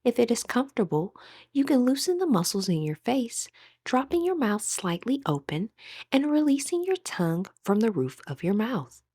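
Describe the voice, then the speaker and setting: A woman with a breathy voice gently leading a guided meditation as an instructor, in measured, scripted phrases. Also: a little high, big pitch swings, no echo, studio quality.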